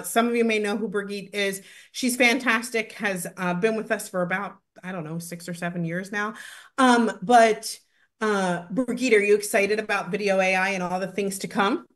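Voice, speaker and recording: A woman in her thirties hosting a webinar from home over a video call, presenting a product with excited, gushing talk and many fillers. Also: mid-range voice. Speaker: webinar host. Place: home room, indoors. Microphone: video call webcam.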